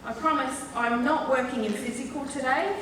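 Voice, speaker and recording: A woman's voice: sits high, moderate pace, fairly bright tone. A woman with an English accent, a medium giving a chatty, joking live talk to an audience. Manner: chatty, joking, explaining with laughs. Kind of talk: live stage demonstration talk. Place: large echoey festival hall. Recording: roomy hall, far from the mic, faint hiss.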